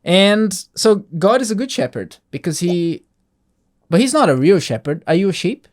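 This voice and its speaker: A man's voice: sits high, big pitch swings, normal speed. Man, host, casual teaching talk for a young child, simple explanations, frequent check-in questions. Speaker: host, parent teaching a child a Bible passage.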